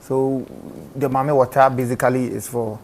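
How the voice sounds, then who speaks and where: Interview host, gravelly fry in the voice, keeps saying oh okay, stammering restarts, faint noise behind. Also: medium pitch, little pitch movement, normal speed, a little mellow, rough recording. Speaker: talk show host interviewing a guest. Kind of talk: casual interview with an on-air sponsor read.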